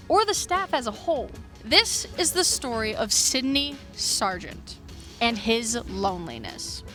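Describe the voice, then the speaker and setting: A woman's voice: on the high side, bright, forceful tone. A woman presenting a scripted video essay with casual asides and dramatic emphasis on key phrases. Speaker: video essayist reviewing fiction podcasts. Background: background music.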